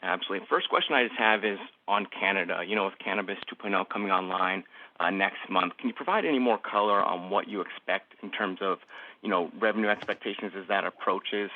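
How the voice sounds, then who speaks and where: A man's voice: low voice, a little fast. A male analyst asking questions on a conference call over the phone, polite, fluent and businesslike. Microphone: telephone. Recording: narrow tinny phone line.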